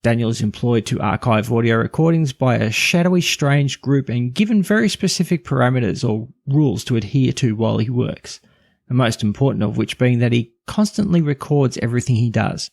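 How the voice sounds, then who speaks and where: A man's voice: mid-range voice, expressive, brisk, gravelly, darkish tone, no room sound, studio quality. A man narrating a scripted review as a podcast host.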